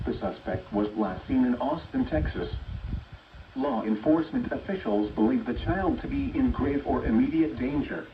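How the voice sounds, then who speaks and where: A tinny, distant radio transmission with faint noise carries a synthetic male voice of medium pitch. He reads an emergency bulletin as an automated announcer, formal and measured. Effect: synthetic voice over radio. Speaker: weather radio alert announcer.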